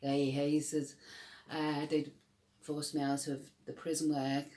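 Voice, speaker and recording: A woman's voice: low-pitched, flat delivery, slow, warm tone, reverberant. A middle-aged woman with a Scouse accent, telling her personal story to camera in an emphatic, rambling way with many restarts. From Liverpool, England.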